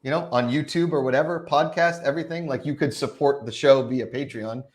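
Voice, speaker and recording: A man's voice: medium pitch, brisk. A man hosting a live talk show in an informal, joking way. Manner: jokey, informal. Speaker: show host.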